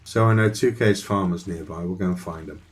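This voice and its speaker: A man's voice: low-pitched, a little fast, soft background music. A man thinking aloud and chatting with viewers while playing a survival game on a live stream. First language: Dutch. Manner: rambling, thinks aloud, talks to chat.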